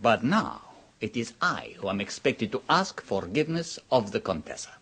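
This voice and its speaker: A suave, mocking host. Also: male voice, fairly low pitch, big pitch swings, normal speed, a bit bright, exaggerated voice. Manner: smooth, teasing, theatrical with chuckles. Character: Luigi, suave, mocking, worldly male host. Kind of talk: 1970s radio drama acting. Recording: old radio broadcast.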